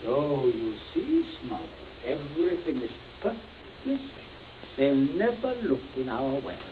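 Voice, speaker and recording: A man's voice: medium pitch, sing-song, measured pace. An actor playing a genteel, menacing middle-aged father in a radio drama, giving polite, clipped orders with false joviality. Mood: genial, unruffled. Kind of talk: old-time radio drama acting. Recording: tinny band-limited old radio, faint noise.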